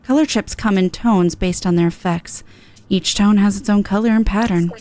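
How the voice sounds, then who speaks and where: A woman voicing a cool, laconic young guide from a video game, in a deadpan, measured manner. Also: mid-range voice, voice pushed down, close to an everyday voice. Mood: calm, explaining. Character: cool, low-voiced laconic young guide, calm and dry. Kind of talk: character reading of video game dialogue.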